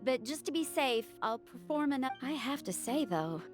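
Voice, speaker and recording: A woman's voice: sits high, sing-song, normal speed. An actress voicing a polite, diligent female doctor in a video game. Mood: concerned. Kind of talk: video game character acting. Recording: music behind the voice.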